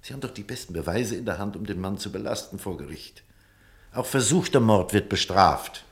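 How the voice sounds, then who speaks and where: Earnest doctor, deep voice, German radio drama, firm, urgent, reasoning. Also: male voice, expressive, fairly quick. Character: earnest male doctor recounting and pleading with a patient. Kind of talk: German radio drama acting and first-person narration.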